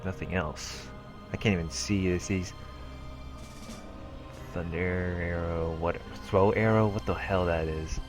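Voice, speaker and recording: Deep-voiced man narrating a game. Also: fairly even intonation, unhurried, pauses often. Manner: stumbles and repeats words while reading. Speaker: let's play host. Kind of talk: reading game text aloud with casual commentary. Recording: game music behind the voice.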